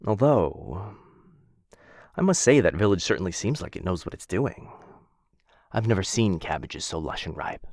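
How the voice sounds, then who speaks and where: Guy doing a low dragon voice, possessive n doting, coaxing asmr roleplay, theatrical, close dry sound. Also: darkish tone, no echo. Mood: musing, awkward. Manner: theatrical, hesitant musing, coaxing endearments. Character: possessive male dragon captor, doting, faintly menacing, theatrical. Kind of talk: boyfriend ASMR fantasy roleplay.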